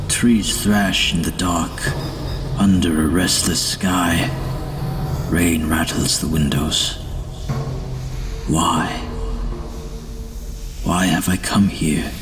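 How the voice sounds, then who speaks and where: Under loud music, a very deep voice broods as a male actor plays him. He is a haunted, grim madman of gothic audio drama. His delivery is theatrical and very slow, sinking into hushed whispers. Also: far from the mic. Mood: dread, haunted.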